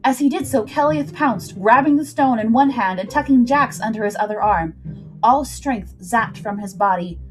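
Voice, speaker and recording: Female storyteller, higher-pitched voice, dramatic scripted adventure narration over light background music. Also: moderate pace, low-quality recording. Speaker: storyteller, livestream host.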